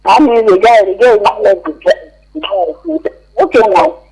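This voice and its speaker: High-pitched, halting Nigerian male caller. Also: expressive, vocal fry, a little mellow, breathy edge, poor audio. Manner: halting, repetitive, rambling. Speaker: radio phone-in caller. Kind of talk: unscripted opinion-giving.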